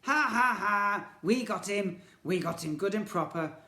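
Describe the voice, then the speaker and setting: A man's voice: high-pitched, expressive, unhurried, bright, forceful tone, echoey room, voice pushed up, exaggerated voice. A man voicing sly, evil old toy shopkeepers in a children's story, with a sneering, bickering manner. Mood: gloating. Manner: sneering, bickering, mock innocent.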